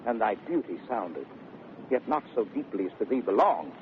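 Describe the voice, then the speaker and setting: Swaggering wooer, tinny old radio. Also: male voice, lowish voice, very animated, normal speed. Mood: teasing, wooing. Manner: theatrical, mock-sweet declamation. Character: brash, swaggering, teasing gentleman wooer. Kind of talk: 1930s radio Shakespeare acting. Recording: tinny, noisy 1930s radio recording.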